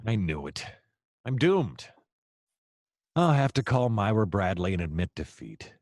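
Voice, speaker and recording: An actor playing a hapless, excitable private detective in a comedic noir audio play, rambling and theatrical in his exasperation. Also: lowish voice, unhurried, no echo, clean studio sound, full character voice. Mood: dejected, rambling.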